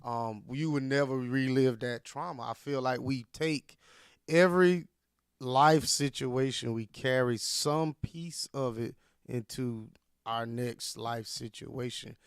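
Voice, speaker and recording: A man in a casual chat, reflective and measured, framing his views carefully as his own opinion. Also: medium pitch, smooth, no room sound, clean studio sound.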